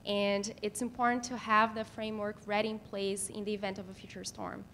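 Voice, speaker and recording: A woman in her thirties speaks at a podium in a meeting room, explaining slides in a formal but slightly halting way. Her voice sits at a medium pitch. There is some low rumbling noise behind her. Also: level tone, a bit bright. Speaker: city planner presenting. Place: meeting room in a civic building. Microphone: podium microphone.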